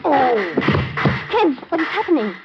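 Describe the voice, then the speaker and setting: A muffled vintage radio recording of an anxious young heroine. Her voice is high and swings widely in pitch. She sounds fretful and urgent, pressing out her questions. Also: sing-song, a little slow, loud music behind the voice. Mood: alarmed. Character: frightened, breathless young woman held captive by spies. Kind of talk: 1950s radio drama acting.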